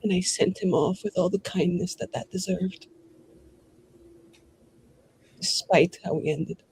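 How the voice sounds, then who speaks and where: A man playing a grieving, menacing noble who speaks slowly and deliberately in broken phrases. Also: sits high, steady tone, slight background noise, poor audio. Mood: tender grief.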